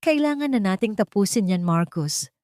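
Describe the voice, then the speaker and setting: A woman narrator lends her voice to a scheming young cousin in an audiobook tale. The cousin sounds cold and eager, pitched slightly higher than the narration. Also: expressive, moderate pace, a little mellow, no room sound, studio quality, lightly acted.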